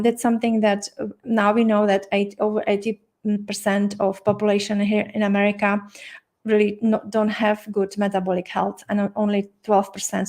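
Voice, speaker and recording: A woman's voice: mid-range voice, a little mellow. A middle-aged woman with a Czech accent, leading a live online health class in a friendly way, with many fillers and restarts. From Czech Republic. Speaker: doctor and health coach hosting an online masterclass. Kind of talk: live-streamed teaching session.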